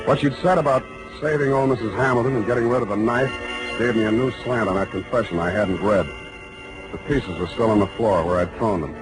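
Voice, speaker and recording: An American actor playing a tough, wry private detective narrating a 1940s radio crime drama in clipped style. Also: male voice, medium pitch, natural voice, poor audio. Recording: old 1940s radio broadcast, music behind. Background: music.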